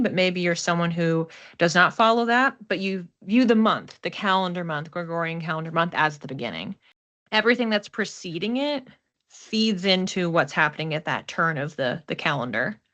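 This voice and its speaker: A woman's voice: lowish voice, a bit bright. A woman hosting an oracle card reading in an informal, conversational way, often repeating and listing words for emphasis. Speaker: host, oracle card reader.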